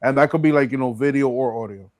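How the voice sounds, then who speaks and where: A man hosting an informal interview, asking questions in a halting, conversational way with lots of filler words. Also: medium pitch, no echo, clean studio sound. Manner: halting questions padded with "like" and "you know". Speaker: podcast host. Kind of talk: casual interview chat.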